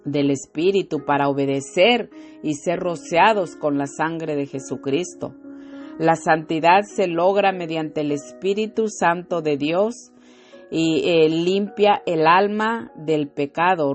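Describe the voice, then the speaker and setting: A woman preaching a devotional sermon and reading scripture, slow and fervent, with frequent hallelujahs and amens, her throat a little irritated. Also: low-pitched, darkish tone.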